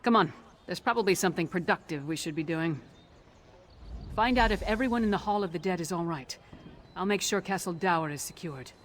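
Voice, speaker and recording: A man's voice: a little high, a bit bright, a little hiss or hum, lightly acted. An actor playing a blunt, dry-humored male warrior companion in a video game, with faint music behind. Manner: blunt, dry, matter-of-fact.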